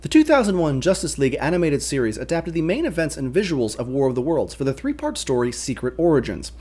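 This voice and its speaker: A man's voice: a little high, big pitch swings, fast, darkish tone. A young man co-hosting a scripted explainer, narrating as he presents. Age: young adult. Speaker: co-host.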